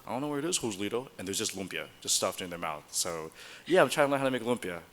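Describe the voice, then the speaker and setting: Young male panelist, low-pitched and rapid, casual delivery. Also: lively intonation, a bit bright. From New Jersey, United States. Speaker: panel participant, recent graduate. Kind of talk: casual roundtable talk. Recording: reverberant room with faint ambient noise.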